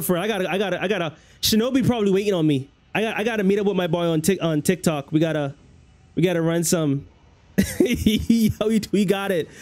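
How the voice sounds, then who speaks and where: A man's voice: high-pitched, darkish tone. A young man from the Bahamas with a Bahamian accent, chatting loosely with viewers at the end of a game stream, often repeating himself. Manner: loose, rambling, repeats phrases. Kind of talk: casual livestream chat with viewers. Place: small room at home, indoors. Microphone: headset.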